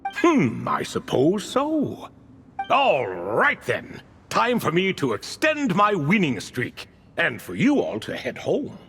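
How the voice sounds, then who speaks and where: A man voicing a wry, resigned, proudly undefeated desert man in a video game, speaking in a measured, theatrical way. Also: on the high side, wildly swooping pitch, creaky, a bit bright, slight background noise, exaggerated voice. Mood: wry, boastful.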